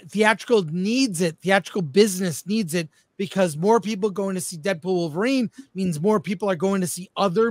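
A man with a notably high voice hosts a casual panel discussion. He states his opinions with emphasis, and the sound is very dry, without room echo. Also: fairly bright tone. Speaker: host of a film debate show.

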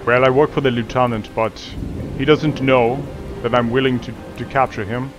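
A man's voice: medium pitch, fairly even intonation, moderate pace, music in the background. A man roleplaying a streetwise hustler on a game server, wheedling and tacking "mate" onto his lines in an accent that sounds put on. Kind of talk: in-character game roleplay over voice chat.

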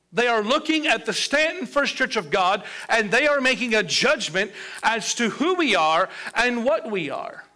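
High-voiced bright pastor preaching. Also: male voice. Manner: preaching with steady build-ups and pauses, gesturing at a pulpit. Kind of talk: sermon. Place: church sanctuary with a colorful children's stage set.

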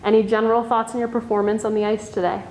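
A woman's voice: on the high side, level tone, fast talker, darkish tone. A woman interviewer asking polite, scripted postgame questions. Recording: echoey room, faint hiss.

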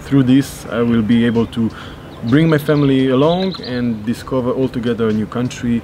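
A man's voice: medium pitch, moderate pace, dark tone. A man in his thirties, not a native English speaker, chatting casually in a vlog with hesitant, repeated words. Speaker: vlogger and handball player. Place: outdoor rooftop terrace. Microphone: on-camera shotgun mic at short distance. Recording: outdoor urban noise, birds, traffic.

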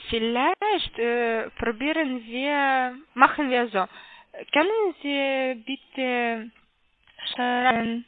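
A woman with a foreign accent works through a sales call at a slow, hesitant pace. Her voice sits fairly high and is squeezed by a narrow phone line. She takes care over each thing she spells out. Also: no echo. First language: not German. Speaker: call centre caller.

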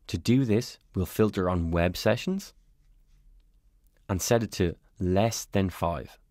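A man calmly narrating a step-by-step software tutorial as a voice-over on a screen recording. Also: sits low, no room sound, studio quality. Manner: calm, step-by-step explanatory.

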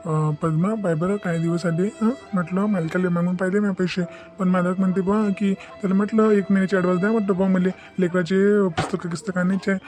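Man playing an earnest, high-voiced village father. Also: level tone, quick pace, darkish tone, faint music behind, close to an everyday voice. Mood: worried. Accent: Varhadi Marathi. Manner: earnest, repetitive, insistent. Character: loving, worried poor village father, protective of daughter. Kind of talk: amateur moral-story cartoon dialogue.

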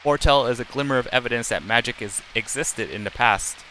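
A man's voice: medium pitch, brisk, sharp tone, a little hiss or hum, low-quality recording. A middle-aged man live streaming, reading game text aloud in a plain, unacted way with casual asides and occasional stumbles.

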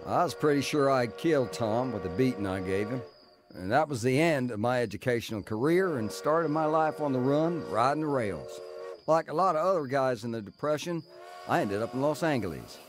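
An actor playing a tough, plainspoken ex-criminal in a dramatized radio story. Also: male voice, mid-range voice, animated, a little fast, over music, close to an everyday voice. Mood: wry, matter-of-fact. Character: tough male ex-criminal recounting his bootlegging youth. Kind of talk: dramatized first-person narration and dialogue.

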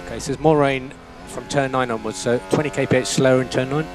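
A man's voice: mid-range voice, steady tone, quick pace, over music. A man working as a race engineer, giving terse, matter-of-fact instructions over team radio and repeating key phrases. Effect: team radio transmission.

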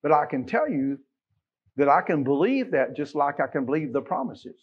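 A middle-aged American man preaching a Baptist sermon, emphatic, moving between quiet asides and loud exclamations and quoting scripture. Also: a little high, very animated, normal speed, husky, highs cut off. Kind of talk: Sunday sermon with scripture quotations. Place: small church sanctuary, at the pulpit.

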